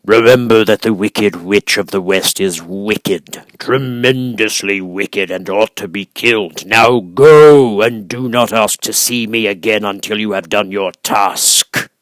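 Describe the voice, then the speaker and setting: Man voicing Oz, a booming, imperious giant head. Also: measured pace, hardly any pauses, no echo, natural voice. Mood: stern, commanding.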